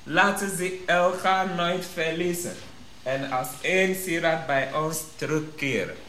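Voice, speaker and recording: A royal spokesman in a radio drama, solemn and formal. His voice is fairly high and forceful, each phrase slow and ceremonious. The room rings a little around him. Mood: solemn, proclaiming. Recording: roomy sound with faint noise.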